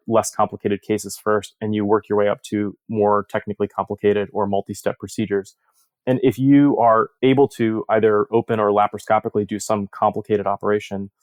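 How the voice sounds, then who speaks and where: A man speaking as a surgeon and researcher in an interview, explaining in a measured way and posing rhetorical questions. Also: on the low side, no room sound. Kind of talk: interview discussion of surgical research.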